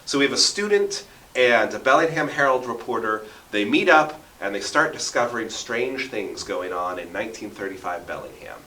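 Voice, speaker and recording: A man's voice: fairly low pitch, animated, normal speed, sharp tone, slight background noise. A talkative man in his thirties telling stories with enthusiasm and dramatic emphasis as a radio interview guest. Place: basement radio station studio. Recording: roomy echo, distant from the mic.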